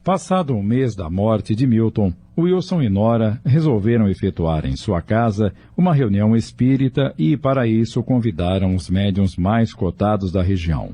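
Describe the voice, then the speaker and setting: A man's voice: fairly low pitch, lively intonation, normal speed, few pauses, dark tone. A man with a Brazilian accent giving a scripted, dramatic story narration. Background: music.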